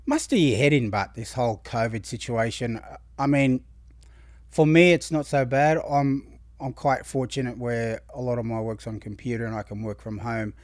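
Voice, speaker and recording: A man's voice: medium pitch, fairly even intonation, moderate pace, dry recording, clean studio sound. An Australian man hosting a podcast, upbeat and chatty, asking long rambling questions. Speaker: podcast host. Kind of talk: casual interview hosting.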